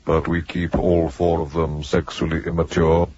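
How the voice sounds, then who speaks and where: A man's voice: rumbling low, flat delivery, normal speed, warm tone. An actor playing a cold, suspicious male ship computer in a radio drama, with terse and clinical speech. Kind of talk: 1980s radio drama acting. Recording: dull old radio recording, light noise.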